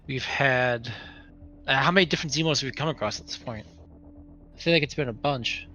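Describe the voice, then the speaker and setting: A man talking casually as a player in a tabletop roleplaying game, deliberately reasoning things out aloud. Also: medium pitch, sharp tone, highs cut off, slight background noise.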